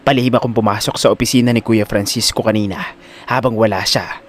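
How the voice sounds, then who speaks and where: A scheming father in an audio drama, played by a man. He sounds grave and tightly angry. His words come out deliberate and tense. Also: on the low side, steady tone, a little hiss or hum, pitched below the performer's own voice, lightly acted. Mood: grim, angry. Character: grim, angry scheming father bearing bad news. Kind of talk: audio drama character acting.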